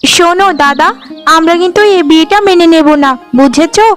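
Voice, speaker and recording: A woman's voice: extremely high pitch, brisk, faint music behind. A woman voicing a spiteful, bossy young sister-in-law in a cartoon, scolding and taunting haughtily. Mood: indignant. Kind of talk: Bengali cartoon dialogue acting.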